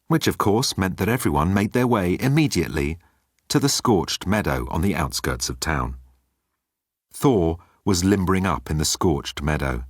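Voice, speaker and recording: A man's voice: deep voice, no echo, clean studio sound. A man reading scripted comic fiction as an audiobook narrator.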